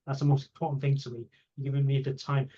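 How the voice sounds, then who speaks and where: A man's voice: medium pitch, level tone, quick pace, warm tone. A muffled, lo-fi recording of a man with a mid-range voice. He is an interviewer chatting casually, often hesitating and repeating himself as he rambles toward his point. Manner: hesitant, repetitive, rambling phrasing. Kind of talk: casual chat. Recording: dull lo-fi audio.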